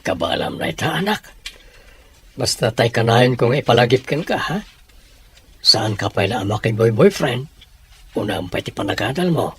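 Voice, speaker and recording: A man voicing a caring middle-aged father who gently advises his daughter. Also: mid-range voice, lively intonation, moderate pace, long pauses, slightly rough. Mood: gentle.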